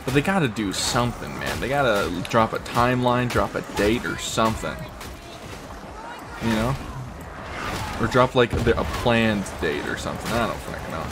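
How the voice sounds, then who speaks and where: A young man talks over gameplay in a low, fairly monotone voice that sounds scratchy from strain. He rambles loosely, full of fillers and the odd curse. Game music plays clearly underneath. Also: moderate pace. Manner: rambling, many fillers, swears casually. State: scratchy throat. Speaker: gamer commenting while playing. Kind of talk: casual gameplay commentary.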